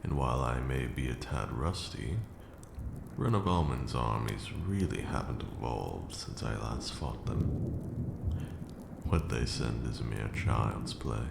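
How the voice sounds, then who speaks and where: A man playing an ancient vampire lord, a stern yet caring mentor, speaking in formal, measured, archaic phrases in an ASMR roleplay. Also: expressive, exaggerated voice. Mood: instructive, reassuring. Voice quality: gravelly, very deep. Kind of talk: ASMR roleplay, slow intimate monologue. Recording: roomy echo with audible background noise.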